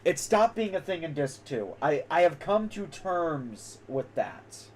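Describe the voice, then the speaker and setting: A man's voice: a little high, big pitch swings, a little slow, slight background noise. A man streaming a game, giving casual live commentary. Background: game music.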